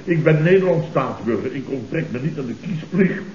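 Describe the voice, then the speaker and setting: Radio comedy boss played by a man: high-pitched, pompous, theatrically offended, muffled roomy vintage recording. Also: lively intonation, a little fast, a little mellow, slight background noise, close to an everyday voice. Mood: indignant, demanding. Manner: pompous, repetitive, exclamatory. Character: blustering, indignant boss, theatrically offended and muddled. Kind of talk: comic radio play acting, around 1970.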